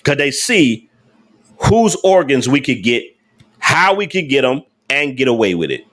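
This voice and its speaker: A man's voice: medium pitch, very animated, unhurried, a bit bright. A man hosting a casual livestream, talking emphatically to his viewers with slangy speech and repeated rhetorical questions. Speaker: livestream host.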